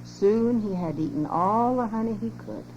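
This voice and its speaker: An older woman gently reading a children's story aloud at home for her family, on a lo-fi home cassette tape with hum. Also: medium pitch, moderate pace.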